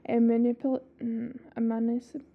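A woman's voice: fairly high pitch, level tone, normal speed, creaky, breathy edge, phone-quality sound. Scratchy-voiced sick woman podcaster. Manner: swears a lot, stumbles over foreign names. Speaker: podcast co-host. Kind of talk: casual chat reading a story.

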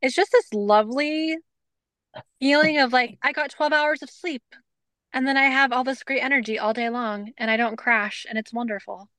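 A woman co-hosting a podcast with a smooth, clear voice, recorded close and dry. She talks in a bubbly, rambling way full of "like" and "um", then slows down to guide a breathing exercise. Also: high voice, dry recording. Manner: bubbly, lots of fillers, leads a calm breathing exercise. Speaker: podcast co-host. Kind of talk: casual chat.

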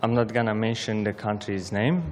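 A young man from Syria, telling his personal story in halting as a guest speaker in a church. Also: fairly low pitch, little pitch movement, slight background noise. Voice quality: halting non-native English, simple phrasing. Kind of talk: personal testimony speech. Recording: long church reverb.

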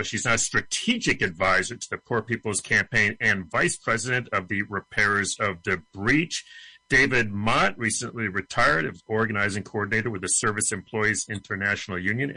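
Man, radio host, bright forceful voice, dry close sound, brisk announcer delivery, faint music behind. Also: fairly low pitch, creaky, dry recording, poor audio. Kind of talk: scripted show narration and interviewing.

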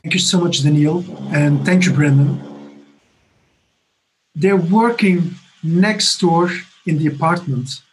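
A man in his fifties from Belgium giving a personal recovery testimony from his apartment, halting and earnest with many restarts. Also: fairly high pitch, slight background noise, poor audio. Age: 50s. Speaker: recovery conference speaker. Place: home apartment.

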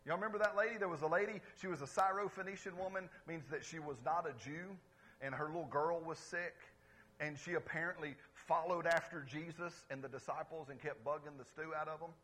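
A pastor preaching to his congregation in a lively, conversational way, calling out for responses and swinging between loud exclamations and quiet asides. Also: a little high, a little fast, breathy edge, low-quality recording. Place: church service before a congregation.

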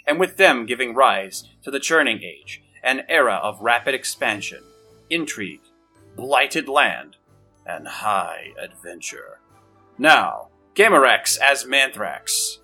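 A man's voice: medium pitch, lively intonation, a little slow, bright, forceful tone, breathy edge, faint music behind. A man running a tabletop game as game master, explaining rules with dry, joking asides. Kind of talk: tabletop game chat and trailer narration.

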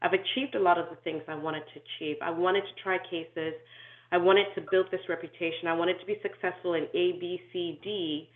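A woman who grew up in Nigeria, talking through her life story in an interview, thoughtful and measured, with frequent ums and restarts. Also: lowish voice, steady tone. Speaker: inclusion and diversity consultant, guest. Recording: tinny echoey phone-like call audio.

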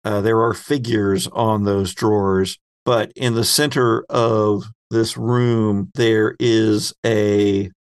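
A man hosting a tabletop horror game as game master, narrating scenes descriptively with halting pauses. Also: lowish voice, steady tone, clear, resonant tone.

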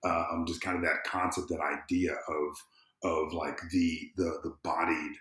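A man's voice: sits low, little pitch movement, a little slow, fairly bright tone. A man from the American South chatting casually and emphatically as a podcast guest. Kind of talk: casual interview chat. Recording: roomy, echoing sound.

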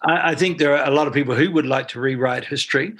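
Gravelly older kiwi guy in his 70s, earnest, keeps restarting his sentences. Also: mid-range voice, quick pace, fairly bright tone. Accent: New Zealand. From New Zealand. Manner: earnest, rambling with many restarts. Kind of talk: interview answers.

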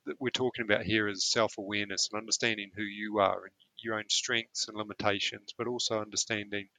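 Bright-voiced thoughtful male therapist. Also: lowish voice, little pitch movement, brisk, no echo, poor audio. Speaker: therapist guest. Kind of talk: explanatory interview answer.

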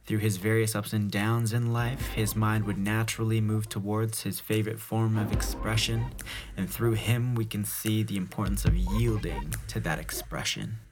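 A man with a fairly low voice hosts an interview podcast. He keeps a friendly tone, steering the talk with questions and short, encouraging replies. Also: fairly even intonation, normal speed, over music. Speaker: podcast host.

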